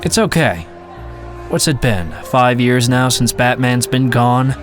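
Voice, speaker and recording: A man playing an earnest, downcast hero in everyday guise in an audio drama, subdued and measured. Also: mid-range voice, lively intonation, music in the background. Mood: resigned.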